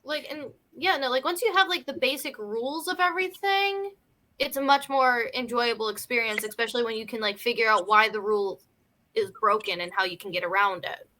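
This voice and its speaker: High, bright-voiced woman. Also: brisk. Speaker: film podcast panelist. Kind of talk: casual movie discussion.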